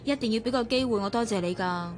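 A woman's voice: on the high side, fast talker, faint music behind, lightly acted. An actress playing a cheerful young mother who makes cartoons, eager and grateful, with a Hong Kong Cantonese accent, in a radio drama.

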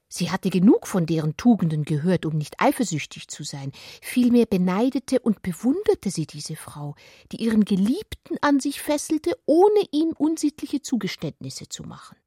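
A woman reading literary prose for a radio production, with intimate, expressive, deliberate phrasing that often falls to a whisper. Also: mid-range voice, no echo, clean studio sound. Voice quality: breathy, often falling to a whisper. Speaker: narrator of a radio literary adaptation. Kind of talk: literary scripted narration. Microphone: close studio mic, dry.

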